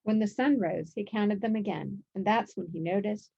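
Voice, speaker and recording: A woman's voice: medium pitch, moderate pace, gravelly, a little mellow, husky, lo-fi sound. A woman narrating a children's picture book aloud as its author.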